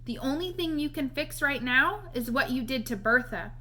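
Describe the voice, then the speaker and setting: A woman's voice: sits high, expressive, normal speed, pushed and bright, heavily acted. A woman voicing a nosy, kindhearted little boy in a children's storybook, speaking in folksy, matter-of-fact advice. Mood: advising. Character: earnest, nosy little red-headed boy, kind and persistent.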